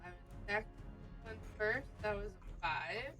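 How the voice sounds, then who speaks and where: A tabletop game player chats casually in a bright voice of middling pitch. The delivery is hesitant and self-deprecating. Faint music runs behind the voice. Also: expressive, a little slow. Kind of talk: casual chat.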